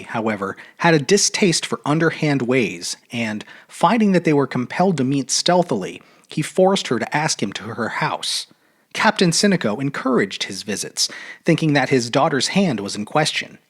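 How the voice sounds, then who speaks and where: A man narrating a literary short story aloud in an expressive, measured, dramatic audiobook style. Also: medium pitch. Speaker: storyteller reading fiction aloud.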